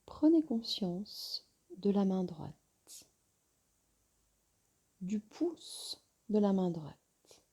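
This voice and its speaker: A woman yoga teacher guiding a relaxation meditation in a soft, soothing, breathy voice with long pauses. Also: medium pitch, sing-song.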